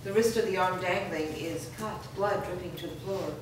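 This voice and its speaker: Woman reading script directions, lowish voice, far from mic, big echoey room. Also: moderate pace. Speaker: narrator reading stage directions. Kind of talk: scripted narration at a staged screenplay reading. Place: live staged reading in a reverberant room. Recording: distant, very echoey room, background noise.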